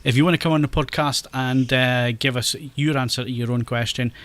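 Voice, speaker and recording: A Scottish man hosts a relaxed podcast in a chatty, easygoing way. His voice sits at an ordinary middle pitch. He laughs often and trips over repeated words as he talks. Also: a little fast. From Scotland. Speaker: podcast host. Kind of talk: casual podcast chat.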